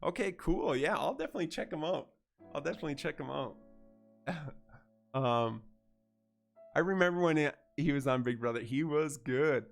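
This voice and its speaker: Congested, gravelly-voiced male streamer. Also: a little high, animated, moderate pace, long pauses, soft background music. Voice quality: nasal. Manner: easygoing, chatty, thanks viewers warmly. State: congested from a head cold. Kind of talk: casual gameplay livestream chat.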